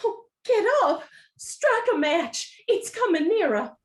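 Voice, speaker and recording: A high-pitched, fussy matriarch. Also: female voice, sing-song, exaggerated voice. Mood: frightened. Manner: bossy, brisk orders, theatrical. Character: fussy, commanding wife and mother, easily alarmed. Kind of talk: radio play acting.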